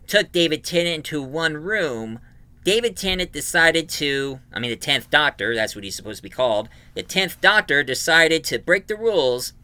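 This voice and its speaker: A man giving an informal, emphatic review of an audio drama, recapping it in a halting way. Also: on the high side, pushed and bright, clean tone, no echo.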